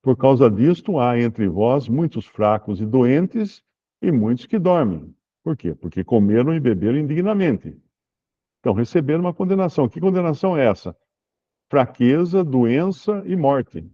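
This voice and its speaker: A man, leading an informal Bible study and answering questions in a relaxed, explanatory way, slowing down to read verses aloud. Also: medium pitch, lo-fi sound. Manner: relaxed, explanatory, reads scripture aloud slowly.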